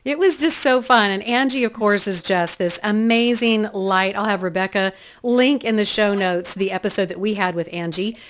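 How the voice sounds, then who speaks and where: A woman's voice: mid-range voice, moderate pace, few pauses, a little hiss or hum. A middle-aged woman hosting a faith podcast, talking in a chatty, easygoing way and laughing often. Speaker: podcast host. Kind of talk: casual interview chat.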